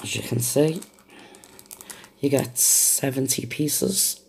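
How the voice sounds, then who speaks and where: A woman's voice: a bass voice, slow pace, creaky, darkish tone, slight background noise. A woman with a cold chatting casually and apologetically as she shows off craft supplies at her home desk, recorded on a camera set on the desk. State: has a cold. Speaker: craft vlogger. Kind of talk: casual haul show-and-tell.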